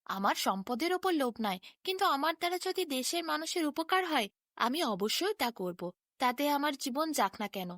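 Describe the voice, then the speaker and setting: A woman's voice: high-pitched, sing-song, moderate pace, a bit bright, no room sound, studio quality. A woman voicing a humble, selfless young shepherd boy in a fairy tale, polite and questioning. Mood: resolute.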